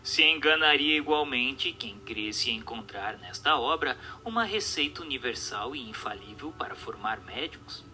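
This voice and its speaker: A man's voice: mid-range voice, animated, bright, forceful tone. Guy doing formal audiobook style narration, teacherly, really varied intonation, music behind him. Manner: formal, measured, didactic delivery.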